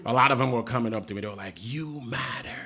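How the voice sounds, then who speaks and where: A Haitian man whose first language is Haitian Creole, performing an animated stand-up set on a comedy stage and jumping into high mock voices for punchlines. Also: quick pace. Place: comedy stage before a laughing crowd. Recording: loud noise, audience laughter.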